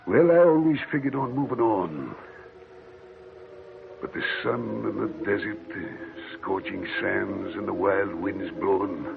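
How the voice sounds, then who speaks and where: A man's voice: deep voice, creaky, exaggerated voice. An actor playing an older male desert hermit, greedy and haunted, in a 1940s radio drama. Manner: drawling monologue, then panicked shrieks. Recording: tinny old radio broadcast.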